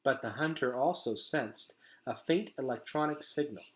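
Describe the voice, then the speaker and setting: A man narrating a children's storybook aloud in a dramatic, emphatic way, dropping to a hush at times. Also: mid-range voice, moderate pace, slight background noise. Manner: dramatic, emphatic delivery with hushed drops.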